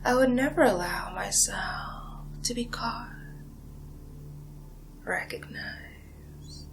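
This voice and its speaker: A woman playing a seductive, ancient vampire villain in a whispered ASMR roleplay, breathy and drawn-out, savoring and condescending. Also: a little high, exaggerated voice, low-quality recording. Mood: haughty, hushed. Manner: slow, hushed, drawn-out, savoring delivery.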